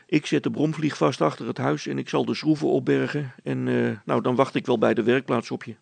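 Man playing a gravelly, practical storybook father. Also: mid-range voice, fairly even intonation, fairly quick, darkish tone, no room sound. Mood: instructing. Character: practical, gruff middle-aged father and tinkerer.

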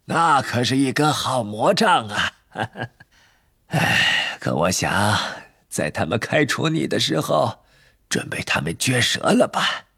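Eccentric old storybook wandmaker: husky and breathy, highish swooping pitch. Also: male voice, animated, sharp tone, no room sound, clean studio sound, heavily acted. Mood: probing. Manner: slow, drawn-out, swooping delivery with muttered asides. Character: eccentric old male wandmaker, keen and theatrical.

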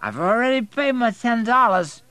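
Audiobook drunk: male, slurring and drawling, emotional, pitched well up. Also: lively intonation, normal speed, sharp tone, dry recording, heavily acted.

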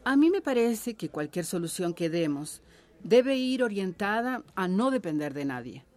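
A resolute village woman played by an actress in a radio drama. She speaks at a middle pitch with very varied intonation, earnest and persuasive. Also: big pitch swings, normal speed, darkish tone, close to an everyday voice. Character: earnest, resolute villager urging self-sufficiency.

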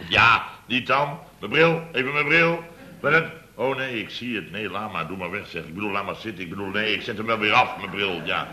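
Blustering boss, very sharp, forceful voice. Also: male voice, fairly low pitch, a little fast. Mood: blustering, flustered. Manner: blustering, stammering, repeats himself. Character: blustering, pompous middle-aged male building-firm boss. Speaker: actor in a radio comedy serial. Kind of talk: Dutch radio comedy serial acting, around 1970. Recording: slightly noisy vintage radio recording.

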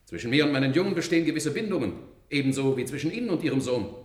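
An actor playing a loyal, stubborn robot in a German science-fiction radio drama, earnest and deferential. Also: male voice, fairly high pitch, animated, very fast, a little mellow, natural voice. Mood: firm, protective. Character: loyal, stubborn male robot protective of his robot sons.

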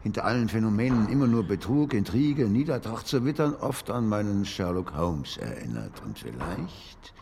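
An actor playing a proud, stubborn older gentleman author in a German radio drama, with dignified, defensive indignation. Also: fairly low pitch, sing-song, normal speed, gravelly, hardly any pauses, a little hiss or hum. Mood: wounded, reflective.

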